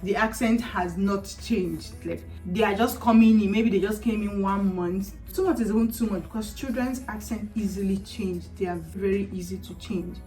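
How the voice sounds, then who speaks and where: A woman in her thirties from Nigeria with a Nigerian accent, chatting casually to camera as a vlogger, animated, laughing often and slipping into Nigerian Pidgin phrases. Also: medium pitch, moderate pace, hardly any pauses. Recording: heard from a distance, with faint music.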